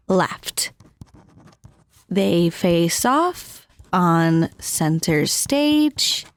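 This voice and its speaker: An actress playing an earnest young woman in an audio drama, speaking in a measured, thoughtful way. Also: on the low side, dry recording, full character voice. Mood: dreamy, deliberate.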